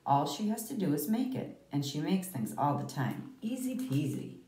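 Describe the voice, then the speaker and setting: A low-pitched woman reads a children's picture book aloud in a very expressive, swooping way. She leans on key words and pauses for effect. The recording is roomy, with the microphone set well back from her. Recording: roomy, echoey, distant microphone.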